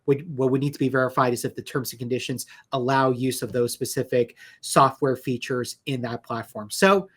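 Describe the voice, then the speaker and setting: Male legal expert for an interview, mid-pitched voice, conversational and measured, stressing points of caution. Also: slightly rough. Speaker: lawyer, interview guest.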